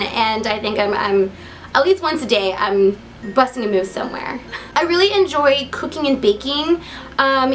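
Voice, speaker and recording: A woman's voice: sits high, a bit bright, poor audio. A young woman with an American accent chatting casually to camera as a vlogger, in a giggly, upbeat and talkative manner. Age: young adult. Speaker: vlogger introducing herself.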